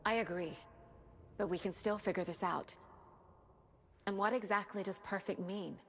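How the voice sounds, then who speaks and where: An actress playing a determined, level-headed investigator in a video game cutscene, speaking firmly and seriously. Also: female voice, mid-range voice, fairly even intonation, a bit bright, a little hiss or hum, natural voice. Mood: measured, probing. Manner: firm, measured, serious.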